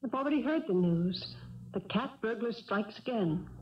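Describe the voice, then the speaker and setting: An actress playing a shrewd, suspicious wife who questions coolly and pointedly in a 1970s radio drama. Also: female voice, on the high side, animated, moderate pace, lightly acted. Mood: cool suspicion. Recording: dull vintage radio broadcast, faint noise.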